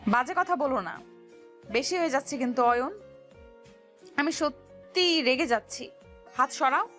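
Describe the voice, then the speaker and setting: A woman's voice: high-pitched, animated, measured pace, a bit bright, faint music behind. A woman playing a flustered, pouty young fiancée who scolds and protests coyly in a romantic audio story. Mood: annoyed, protesting.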